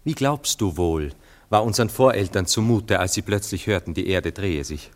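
Deep-voiced brooding gentleman lover for a radio drama: jealous, cynical, eloquent, self-dramatising. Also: animated, moderate pace. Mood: musing, lecturing. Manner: theatrical, eloquent, musing monologues with emphatic swings. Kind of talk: German literary radio play acting.

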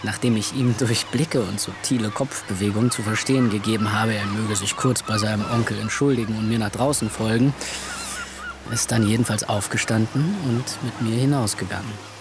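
An actor in a German radio play playing a fanatical, lustful, antisemitic man who declaims self-importantly with hesitant pauses. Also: lowish voice, fairly even intonation, over music, lightly acted. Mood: lustful, reminiscing. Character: fanatical, lustful antisemitic man, preaching free love among men.

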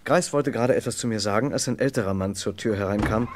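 An actor playing a composed first-person narrator of a murder inquiry in a vintage German radio crime drama. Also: male voice, on the low side, fast talker, noisy background, natural voice.

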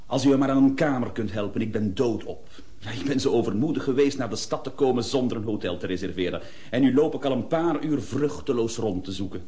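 A man acting a tired traveller who will not take no for an answer, in a medium-pitched voice. His delivery is commanding and wry, in the theatrical manner of a radio play. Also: quick pace, mellow, natural voice. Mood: weary. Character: weary, insistent, self-assured male traveller seeking a room.

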